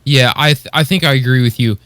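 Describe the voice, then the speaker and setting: Male co-host, average pitch, conversational and a bit halting, very dry sound. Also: fairly bright tone, no echo. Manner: hesitant, searching for words, often says like. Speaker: podcast co-host. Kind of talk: casual chat.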